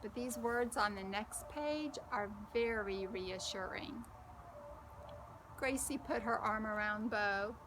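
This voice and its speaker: Slow-paced woman reading for children. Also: fairly high pitch, background noise. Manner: slow, expressive, addresses child listeners with questions. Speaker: storybook reader and host. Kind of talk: children's story time read-aloud.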